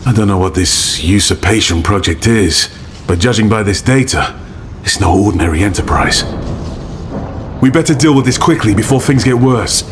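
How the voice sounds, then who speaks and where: An actor voicing a grave, measured male investigator in a video game. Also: lowish voice, expressive, close to an everyday voice. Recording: noisy game mix.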